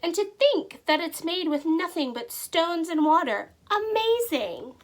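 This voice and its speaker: A woman's voice: extremely high pitch, a little slow, pitched above the performer's own voice, exaggerated voice. A woman voicing an enthusiastic, exclaiming male village mayor in a storybook read-aloud. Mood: excited. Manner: exclaiming, excited.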